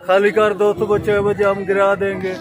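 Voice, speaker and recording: A man who works in a factory, giving steady answers in a street interview. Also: sits high, moderate pace, crowd noise. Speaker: factory worker. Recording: crowded street.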